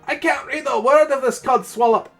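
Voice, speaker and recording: A man's voice: very high voice, creaky, a bit bright, soft background music, voice pushed up, full character voice. A man voicing a frantic female companion in a fantasy story reading, breathless and stammering. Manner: breathless, stammering, halting delivery. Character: frantic, high-pitched female companion, gasping and shrieking.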